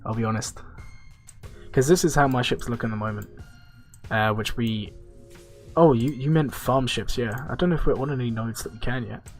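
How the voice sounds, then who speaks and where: A man with a blocked nose and a nasal voice, chatting casually on a gaming livestream and answering viewers' questions in a rambling, relaxed way. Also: mid-range voice, normal speed, faint music behind. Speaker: streamer.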